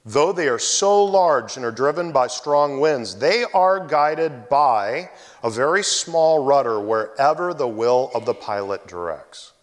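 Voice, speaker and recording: Animated male preacher in a reverberant hall. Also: medium pitch, a little slow. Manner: animated storytelling, loud shouted exclamations. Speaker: pastor. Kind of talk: sermon with personal story.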